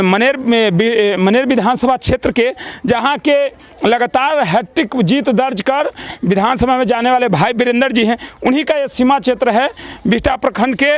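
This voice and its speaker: A man with a Bihari accent reporting from the field in a village, halting as he searches for words. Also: extremely high pitch, no echo. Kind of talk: on-the-spot news report and interview. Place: outdoors in a village settlement. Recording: outdoor street, people talking around.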